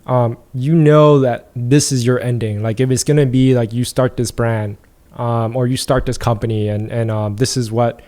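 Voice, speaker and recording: Easygoing male guest, mellow voice, thinks aloud with many fillers. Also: mid-range voice, normal speed. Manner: relaxed, reflective, many fillers like "like" and "um". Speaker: podcast guest, entrepreneur. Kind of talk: casual podcast conversation.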